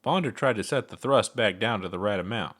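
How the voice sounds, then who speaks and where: Narrator: male, fairly low register, scripted fiction storytelling. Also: fairly quick, fairly bright tone.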